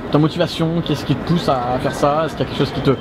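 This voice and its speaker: A man's voice: fairly high pitch, steady tone, very fast. A man from the south of France doing an informal interview, chattily rephrasing his questions as he goes. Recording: noisy urban street with traffic.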